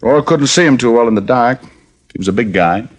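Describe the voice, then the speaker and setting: An actor playing a wisecracking private detective in a 1950s radio drama, trading deadpan jokes. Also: male voice, mid-range voice, fairly quick, natural voice. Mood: wry. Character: wisecracking male private detective, dry and cocky.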